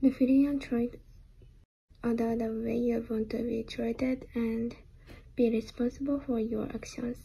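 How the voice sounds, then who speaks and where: A fifteen-year-old girl from Japan, presenting a school project in a hesitant, soft-spoken way. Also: on the high side, flat delivery, unhurried, gravelly, breathy edge, low-quality recording. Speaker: student presenter. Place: indoor room.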